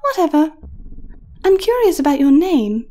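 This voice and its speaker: A smooth, mellow mare acted by a woman in a horror storybook, pitched fairly high. She is imperious and faintly mocking, speaking with cool condescension and quiet command over faint music. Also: big pitch swings, normal speed. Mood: haughty. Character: mysterious, imperious unicorn mare, mocking and sinister.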